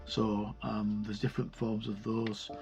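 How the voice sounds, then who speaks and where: A man's voice: lowish voice, little pitch movement, a little slow, creaky, loud music behind the voice, low-quality recording. A man giving a casual, unscripted walkthrough of a game sourcebook, rambling and hesitant, often correcting himself as he goes. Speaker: reviewer presenting a tabletop game sourcebook.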